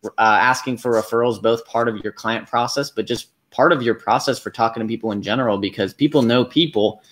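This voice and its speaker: A young man hosting a live interview over a video call from a small room, talking in a relaxed way with plenty of fillers. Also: mid-range voice, fairly quick. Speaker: business coach host. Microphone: webcam on video call.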